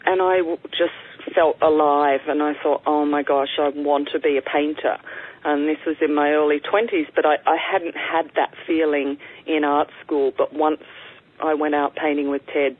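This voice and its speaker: An Australian woman, an artist and interview guest, telling her story in a reflective, unhurried way with frequent ums. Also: low-pitched. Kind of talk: conversational storytelling. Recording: tinny phone line.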